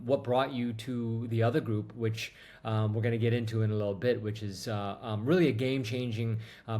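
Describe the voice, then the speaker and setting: A man's voice: lowish voice, a little mellow. A man hosting an interview show, casual and rambling, with lots of ums and restarts. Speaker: podcast host.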